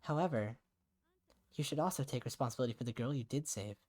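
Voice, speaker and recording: A man's voice: medium pitch, fast talker, darkish tone, close to an everyday voice. A man voicing an earnest young woman in a visual novel, blunt but caring, questioning frankly and insistently. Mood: earnest, firm.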